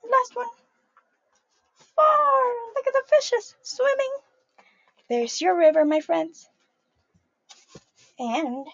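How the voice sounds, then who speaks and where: A young woman reading a picture book aloud to young children in a sing-song, animated way, calling them her friends. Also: very high-pitched, no echo, lo-fi sound. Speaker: early childhood storyteller.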